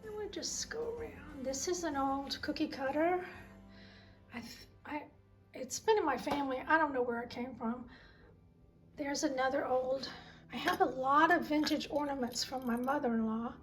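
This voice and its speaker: A middle-aged woman with a voice made raspy by a sinus cold, chatting fondly and rambling as she shows her collection in her home kitchen. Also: sits high, normal speed, soft background music. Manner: chatty, fond, meandering. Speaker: vlog host. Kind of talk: casual home tour chat.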